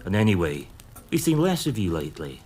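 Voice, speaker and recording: A man's voice: low-pitched, very animated, fairly quick, slight background noise. A man playing a hearty London banker. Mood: cordial. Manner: warm, hearty, laughing. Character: genial middle-aged London banker, hospitable.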